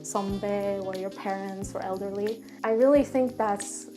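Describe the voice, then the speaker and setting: A young woman from Sweden, speaking in a thoughtful, measured way in an interview. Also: mid-range voice, fairly even intonation. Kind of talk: casual interview talk. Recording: faint music behind.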